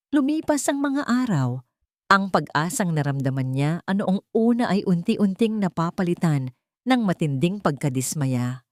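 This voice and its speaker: A woman's voice: on the low side, a little mellow, no room sound, clean studio sound. A woman narrating a scripted drama story in a suspenseful, emotive manner.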